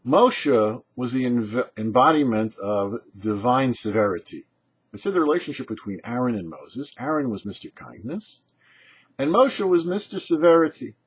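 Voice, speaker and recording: A man with a husky voice, giving a short scripted religious teaching as a rabbi in an emphatic, explanatory way. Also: medium pitch. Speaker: rabbi and show host. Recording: dull, muffled lo-fi audio.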